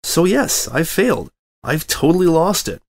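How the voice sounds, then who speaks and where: A bookish young unicorn mare, prim and quick to get flustered, speaking a little higher than a plain voice, as a male reader puts her on. Her talk is earnest, with a clipped, studious edge. A storybook reading voice. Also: big pitch swings, a little mellow. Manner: exasperated, earnest.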